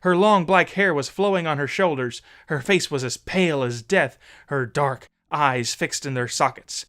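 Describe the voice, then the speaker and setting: A man narrating an old memoir as a dramatic audiobook, solemn, measured and theatrical. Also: on the high side, a bit bright.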